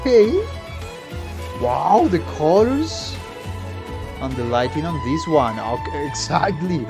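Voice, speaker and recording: A man's voice: on the high side, sing-song, takes it slowly. A man in his thirties giving casual reaction commentary as a musician. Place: small home music room. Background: game trailer music.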